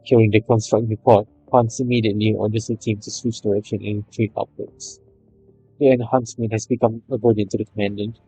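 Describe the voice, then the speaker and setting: Guy reading game story out loud, dark soft-edged voice, trips on words a bit, quiet music under. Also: fairly low pitch, level tone, brisk, slightly rough, poor audio. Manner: stumbles over read lines, re-reads phrases. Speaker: video game story narrator and player. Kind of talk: reading game story aloud with casual commentary.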